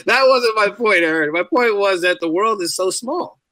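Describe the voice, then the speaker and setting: Bright-voiced, chatty middle-aged man. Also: sits high, animated, normal speed, no room sound. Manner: chatty, informal, emphatic repetition. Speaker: podcast guest, teacher and writer. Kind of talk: casual conversational storytelling.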